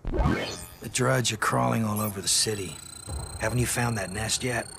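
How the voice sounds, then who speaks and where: A skeptical soldier hero in an alien-invasion shooter game. He talks with dry sarcasm and grudging compliance, over clearly audible music. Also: on the low side, moderate pace, fairly bright tone. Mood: dry, impatient. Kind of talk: scripted video game voice acting.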